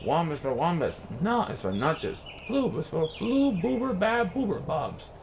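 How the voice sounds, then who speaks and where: A man reading a rhyming children's story aloud in an expressive, playful, sing-song way. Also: fairly high pitch, unhurried, gravelly, background noise. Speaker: picture book reader.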